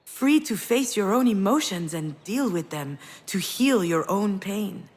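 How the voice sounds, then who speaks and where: An actress playing a grieving, self-reproaching woman in a video game drama, speaking in an earnest, reflective, halting way. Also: mid-range voice, lively intonation, a little mellow. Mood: calm, resolved. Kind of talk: dramatic visual novel voice acting with inner narration.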